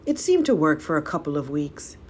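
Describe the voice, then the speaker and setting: A woman's voice: sits low, big pitch swings, a little fast, slightly rough. A woman storyteller reading a folk tale aloud in a dramatic narrating style.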